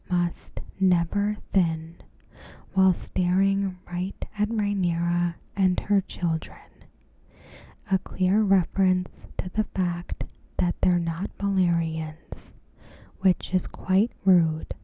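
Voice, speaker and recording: Slow breathy whispering woman, ASMR. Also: fairly low pitch, no room sound. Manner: measured, deliberate, hushed. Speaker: ASMR creator reviewing a TV episode. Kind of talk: ASMR, soft scripted commentary. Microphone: close microphone in a dry space.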